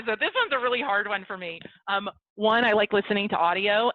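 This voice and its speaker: A woman's voice: medium pitch, no echo. Chatty woman on a tinny call line. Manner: chatty and self-deprecating, acts out both sides of a conversation. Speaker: business networking speaker. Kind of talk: casual motivational talk. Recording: dry, tinny phone-like audio.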